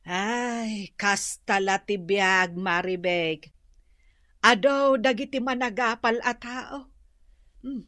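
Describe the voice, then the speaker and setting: A woman's voice: on the high side, a bit bright, no echo, clean studio sound. A woman playing a patient older mother giving sighing advice in an Ilocano radio drama.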